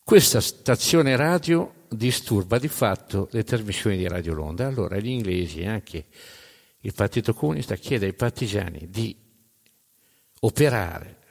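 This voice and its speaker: A man narrating history as dramatic storytelling, in emphatic, measured phrases with pauses. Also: fairly low pitch, animated, vocal fry. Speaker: narrator of a storytelling stage show. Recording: long hall reverb.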